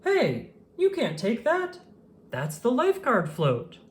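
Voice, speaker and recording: A woman's voice: a little high, wildly swooping pitch, takes it slowly, pitched above the performer's own voice, full character voice. A woman reading a storybook, voicing a stern female lifeguard who barks pool rules. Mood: scolding. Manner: sharp barked commands.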